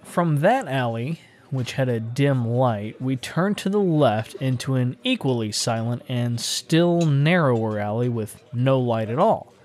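A man's voice: medium pitch, darkish tone. A man narrating a classic horror story in a dramatic, literary audiobook style.

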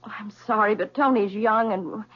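An actress playing a polite, guarded middle-aged wealthy widow in a radio drama. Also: female voice, medium pitch, normal speed, husky, lightly acted. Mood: guarded, composed. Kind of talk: 1970s radio drama acting. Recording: muffled vintage radio broadcast.

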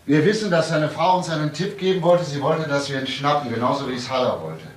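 An actor playing a shrewd, deliberate police detective in a German crime radio play, musing and often tagging questions on. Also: male voice, on the high side, lively intonation, lightly acted, low-quality recording. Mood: wry, insistent. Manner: musing, repeats words, questioning tags. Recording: faint noise and clicks, older radio play.

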